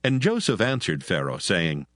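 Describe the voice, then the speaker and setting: A man's voice: low-pitched, huge pitch swings, moderate pace, no echo, clean studio sound. A man narrating scripture as a solemn storyteller in a dramatized audio style. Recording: music behind the voice.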